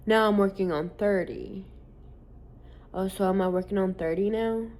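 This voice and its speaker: A woman's voice: medium pitch, moderate pace, a little mellow. A woman in her late twenties talking candidly in a casual vlog, rambling with lots of "like" and casual swearing. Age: late 20s. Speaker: social media creator.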